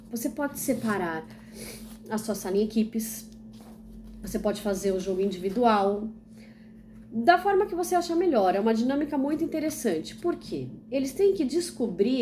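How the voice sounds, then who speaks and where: A young woman from Brazil walks through a classroom activity step by step as a teacher trainee, asking and answering her own questions. Her voice sits fairly high but soft and mellow. A faint hum of the room runs behind her. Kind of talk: instructional demonstration video.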